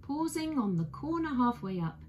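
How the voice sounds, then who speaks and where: Animated woman reading a bedtime story. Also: high-pitched, normal speed, slight background noise. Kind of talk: expressive children's bedtime story narration.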